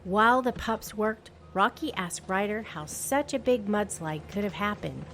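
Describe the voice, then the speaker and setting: A woman narrating a children's storybook in an expressive, deliberate read-along style. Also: mid-range voice, a little hiss or hum.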